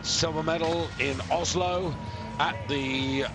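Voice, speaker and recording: A man giving live, measured commentary on a ski jumping competition, explaining scores and distances with pauses and fillers. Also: on the high side, steady tone. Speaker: sports commentator.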